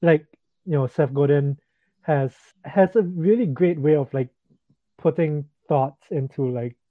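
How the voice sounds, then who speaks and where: A man's voice: a little high, measured pace, no echo. A young man from Singapore, a freelance guest, talking casually with lots of "like" and "you know". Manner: casual, many fillers like "like" and "you know", easy laughs. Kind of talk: casual interview chat. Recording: tinny phone-like remote line, dry.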